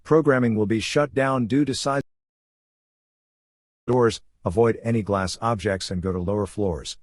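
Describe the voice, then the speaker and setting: A man with a lowish, husky voice delivers a scripted emergency alert. He speaks as a broadcast announcer, formal and measured, setting out warnings and instructions. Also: no room sound, studio quality.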